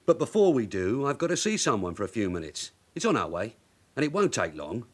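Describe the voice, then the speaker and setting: A seasoned detective sergeant in a radio play. His voice rises and falls freely at a middling pitch, with dry, teasing sarcasm and a shrewd, clipped edge. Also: very animated, brisk. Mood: teasing, playful. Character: shrewd, sardonic veteran London detective sergeant. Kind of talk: British radio crime drama acting.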